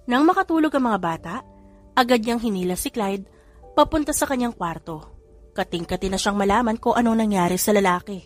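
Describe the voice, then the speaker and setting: A woman narrating a romance audiobook in an even, steady storytelling manner. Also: mid-range voice, fairly quick, soft background music.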